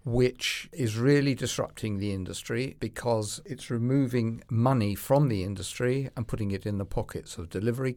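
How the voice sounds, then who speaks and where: A man's voice: lowish voice, darkish tone. Assured man explaining. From United Kingdom. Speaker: industry expert guest. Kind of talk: business conversation.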